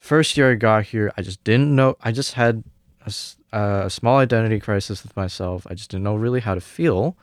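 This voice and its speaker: A young man from Hong Kong answers interview questions in a lowish, smooth voice. He pauses often and restarts his sentences, and the sound is dry and close. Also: no room sound. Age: young adult. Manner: hesitant, many fillers and restarts. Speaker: guest, music student.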